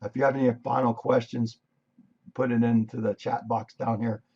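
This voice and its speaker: A man reviewing his day's trades on a live stream, casual and thinking aloud, with a voice that is going hoarse. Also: medium pitch, flat delivery, normal speed, darkish tone, muffled recording. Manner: conversational, thinking aloud, frequent "right?". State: losing his voice, coughing. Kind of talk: casual screen-share trade recap. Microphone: desk microphone.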